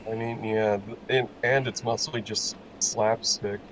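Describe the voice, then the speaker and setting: A man's voice: lowish voice, little pitch movement, measured pace, slight background noise, rough recording. A man joining casual banter as a guest, sardonic in his remarks. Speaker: guest co-commentator.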